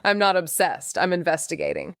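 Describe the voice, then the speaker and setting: Smooth, sardonic young female investigator. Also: fairly low pitch, huge pitch swings, fairly quick, no room sound, studio quality. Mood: defensive. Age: young adult. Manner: wry, sarcastic. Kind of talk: noir audio drama narration and dialogue.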